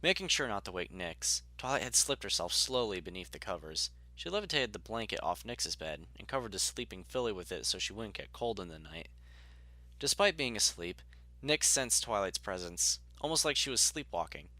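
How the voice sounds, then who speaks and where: Guy narrating a story, measured, crisp forceful tone, very dry close recording. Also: on the low side, sing-song, no echo. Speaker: audiobook narrator.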